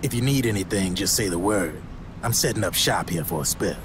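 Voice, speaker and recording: A slick, streetwise American arms dealer from a video game. His voice is low, with easygoing, colloquial sales patter, over clear background ambience. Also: expressive, a little fast, background noise. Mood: smooth, breezy.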